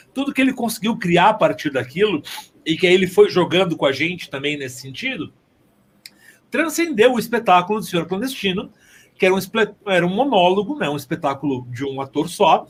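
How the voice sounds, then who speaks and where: A man's voice: sits high, lively intonation, a little fast, husky. A man chatting casually as an interview guest, joking and ironic, rambling from one phrase to the next. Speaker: podcast guest, theatre sound designer and composer.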